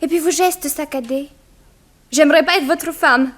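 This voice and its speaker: A woman's voice: high-pitched, moderate pace, a bit bright. An actress playing a chatty, naive and inquisitive young woman in a French radio drama. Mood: playful, curious. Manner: inquisitive, artless. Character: chatty, naive young working woman, curious then frightened.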